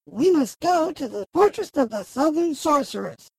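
A woman playing a brisk, grand, eccentric sorceress who commands others in a fantasy audio drama. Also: high-pitched, sing-song, gravelly, noisy background, heavily acted. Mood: commanding.

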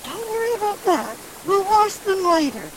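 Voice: extremely high pitch, very animated, normal speed, a crowd in the background, exaggerated voice, low-quality recording. A performer voicing an eager, optimistic youngest sister, chirpy and earnest, in a fairy tale audio drama. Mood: excited, pleased.

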